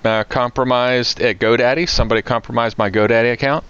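A man's voice: mid-range voice, fairly even intonation, fast talker, fairly bright tone, smooth, no room sound, muffled recording. An American man chatting casually on a podcast as a co-host, telling anecdotes in a conversational way. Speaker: podcast co-host, IT security professional.

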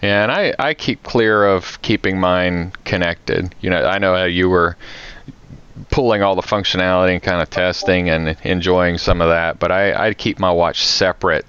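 A man's voice: low voice, steady tone, normal speed, a bit bright, smooth. A man co-hosting a podcast, chatting informally about gadgets and tech over an internet call. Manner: informal, conversational. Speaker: podcast co-host. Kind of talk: casual tech chat. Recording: dull, dry call audio.

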